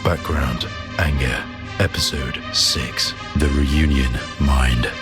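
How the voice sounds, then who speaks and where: An actor as the ominous announcer of an audio thriller, delivering a portentous, dramatic recap and title narration. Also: male voice, a bass voice, slow. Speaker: series announcer. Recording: music behind voice. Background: music.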